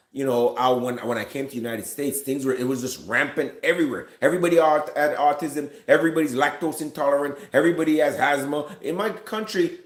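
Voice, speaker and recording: Man commentating in a roomy space. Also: fairly high pitch. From Jamaica. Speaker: reaction video commentator. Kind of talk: casual off-the-cuff commentary. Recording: roomy, echoing room sound.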